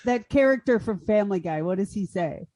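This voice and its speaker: A woman's voice: mid-range voice, lively intonation, a little fast, warm tone, no echo. A woman chatting casually as a cast member on a radio theatre podcast. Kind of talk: casual group chat.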